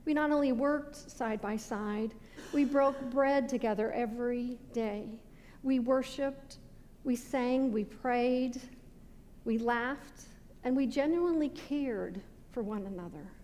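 High-voiced American woman preaching in a hall. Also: slow pace, mellow. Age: middle-aged. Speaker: pastor. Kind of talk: sermon. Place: indoor stone-walled church sanctuary at a pulpit. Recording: reverberant church hall with background noise.

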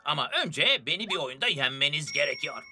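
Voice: medium pitch, animated, fairly quick, lightly acted. An actor voicing a bossy, playful, lonely character in a dubbed children's cartoon, with a theatrical, teasing delivery. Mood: grudging. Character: lonely, bossy, mischievous playful character guarding motor oil. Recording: music behind the voice.

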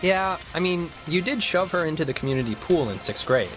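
A man playing a chatty, cheeky, excitable teenage boy in a naturalistic video game. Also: on the high side, a little fast, music in the background, lightly acted. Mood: teasing. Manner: playful, jokey, excitable.

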